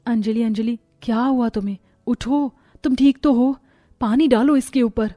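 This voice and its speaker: A woman's voice: fairly high pitch, fairly even intonation, normal speed, warm tone, no room sound, clean studio sound, natural voice. A woman voicing a worried, dutiful young daughter-in-law in a cartoon story, speaking politely and pleadingly. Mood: alarmed. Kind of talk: Hindi cartoon moral-story dialogue.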